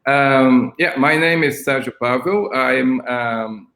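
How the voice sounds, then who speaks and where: An older man from Brazil, a school principal, speaking haltingly with many ums on a video call from his flat. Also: medium pitch, roomy sound. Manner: hesitant, many ums and restarts. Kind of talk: online interview. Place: flat at home.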